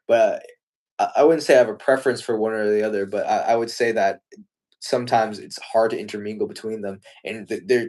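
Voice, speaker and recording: Earnest young man with a lowish voice. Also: a little mellow. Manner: earnest, thoughtful, with frequent restarts. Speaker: martial arts competitor and co-host. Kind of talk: casual live-stream chat.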